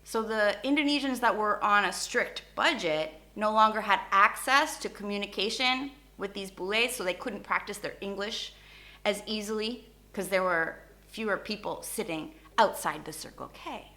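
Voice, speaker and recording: A woman hosting a vlog, giving a casual, opinionated rant in an animated, informal way and mixing Indonesian phrases into her English. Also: medium pitch, moderate pace. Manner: animated, informal, acts out short imagined exchanges. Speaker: YouTube host.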